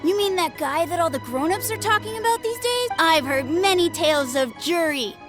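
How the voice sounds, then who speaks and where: Excitable little kid wannabe swordmaster, high voice, naive and boastful, game music behind. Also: female voice, normal speed. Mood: eager. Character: eager, boastful young child playing sword master. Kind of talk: video game voice acting. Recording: music clearly under voice.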